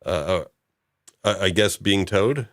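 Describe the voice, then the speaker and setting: A man hosting a casual discussion, recapping in a halting, loosely improvised way. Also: sits low, fairly bright tone, no room sound, clean studio sound. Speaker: host of a discussion show.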